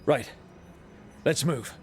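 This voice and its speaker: An actor playing a resolute hero who leads companions in a dramatic fantasy game, speaking in a firm, measured, commanding way. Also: male voice. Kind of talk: dramatic video game acting.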